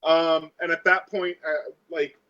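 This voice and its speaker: Higher-voiced man in dull lo-fi audio. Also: level tone, unhurried, pushed and bright. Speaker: player in a tabletop role-playing game. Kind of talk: casual tabletop roleplay, describing character actions.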